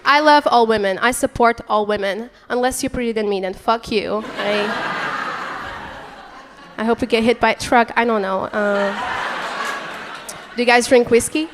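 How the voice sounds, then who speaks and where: Give me a brash Portuguese-born woman comic on stage, slightly high voice, with long reverb and steady room noise behind her. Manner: brash, crude punchlines with quick crowd asides. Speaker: stand-up comedian. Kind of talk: stand-up comedy set. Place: comedy club stage. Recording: live club recording with long reverb. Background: audience laughter and chatter.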